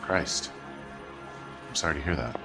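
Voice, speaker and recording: An actor playing a guarded, world-weary businessman in a video game, speaking in a measured, matter-of-fact way. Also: extremely low pitch. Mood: subdued, candid. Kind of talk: video game cutscene acting. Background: background music.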